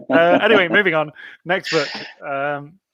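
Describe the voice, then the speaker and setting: A man's voice: a little high, slow, dull audio, a crowd in the background. A man hosting a casual book club podcast, steering the talk and laughing often. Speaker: podcast host.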